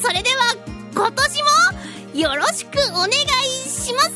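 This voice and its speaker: High, animated Japanese-radio woman host. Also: measured pace, over loud music. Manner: theatrical, playful, giggly, swooping between exclamations and low asides. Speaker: radio show host, voice actor. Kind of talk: solo radio talk show, casual and playful.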